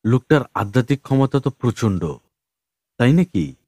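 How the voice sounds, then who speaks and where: A man's voice: medium pitch, lively intonation, moderate pace, warm tone, no echo, studio quality, lightly acted. A man voicing an irritable police station chief who asks curt questions in an audiobook story. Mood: curious, amazed.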